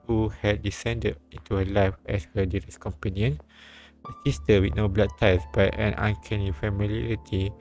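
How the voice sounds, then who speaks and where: A man reads a visual novel aloud on a live stream, pausing for casual remarks. His voice is low with a creaky edge, and he often stumbles over words. Faint game music sits behind him. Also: darkish tone. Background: light game music.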